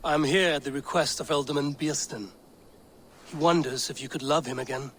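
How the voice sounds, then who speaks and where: An actor playing a steady, courteous Viking warrior in a video game, speaking in a measured, direct and formal way. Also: male voice, fairly high pitch, a little hiss or hum, natural voice. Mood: gentle, persuasive. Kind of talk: dramatic video game acting.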